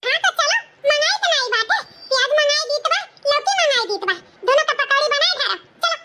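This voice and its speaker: A woman voicing a doting but bossy village mother-in-law in a comedy cartoon, effusive, with a Bhojpuri accent and a pitched-up voice. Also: animated, fairly quick, sharp tone, slight background noise, full character voice.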